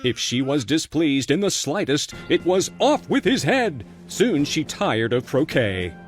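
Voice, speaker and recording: A man's voice: a little high, sing-song, unhurried. A man narrating a scripted children's storybook tale as its storyteller. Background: faint music.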